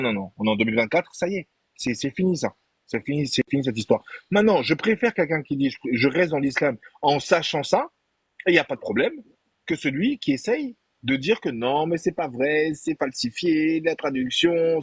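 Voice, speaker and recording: A man with a husky, mid-pitched voice arguing in a heated live debate. He is insistent, repeats himself and keeps talking over the others to hold the floor. The sound is dry and close. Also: no echo. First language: not Arabic. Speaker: debate participant.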